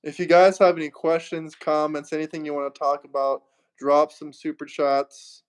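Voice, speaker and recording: A man's voice: a little high, fairly even intonation, moderate pace, smooth. A man hosting a livestream, arguing heatedly and mockingly with a caller and asking viewers for super chats. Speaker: livestream host. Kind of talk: heated political livestream debate.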